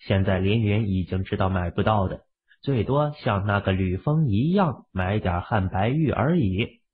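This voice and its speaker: A man's voice: lowish voice, expressive, normal speed, slightly rough. A man voicing an understated, musing young husband, the laid-back hero of an urban fantasy audiobook. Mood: brooding. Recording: muffled, phone-like, lo-fi audio.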